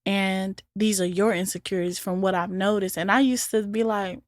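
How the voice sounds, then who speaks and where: A woman's voice: mid-range voice, fairly even intonation, moderate pace, dry recording, clean studio sound. A woman hosting a podcast, talking earnestly and with emphasis about relationships. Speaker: podcast host. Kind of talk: casual chat.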